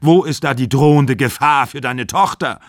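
A man's voice: medium pitch, expressive, normal speed, dry recording, studio quality. A man voicing a furious, bitter aging actor of sixty-five who rants and accuses. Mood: accusing. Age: 60s. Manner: ranting, accusing, shouting.

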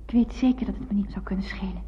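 A woman's voice: mid-range voice, fairly even intonation, fast talker, warm tone, in a whisper, close to an everyday voice. Actress as a coy young woman in long reverb. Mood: coy, teasing. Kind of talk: intimate radio drama dialogue. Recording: reverberant, dull old radio recording.

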